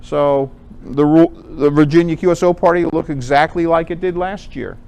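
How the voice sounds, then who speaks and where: An American man giving an informal presentation, explaining things conversationally and pausing to rephrase. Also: a little high, gravelly, a little mellow, clean tone. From Connecticut, United States. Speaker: amateur radio contest organizer, presenter. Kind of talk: informal club presentation with slides.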